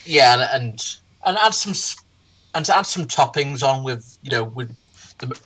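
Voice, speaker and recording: A person in a casual group critique, mocking in a halting, repetitive way. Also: mid-range voice, expressive, fairly bright tone, breathy edge, low-quality recording. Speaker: online video commentator.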